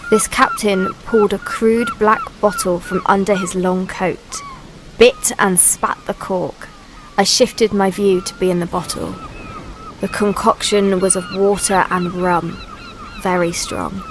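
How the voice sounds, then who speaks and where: Slow, solemn woman voicing the Sea as narrator. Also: medium pitch, slight background noise, poor audio. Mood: brooding. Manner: grave, poetic, deliberate. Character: the ancient Sea itself, solemn, brooding female narrator. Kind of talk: scripted dramatic narration in a radio play. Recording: steady rain and water ambience behind.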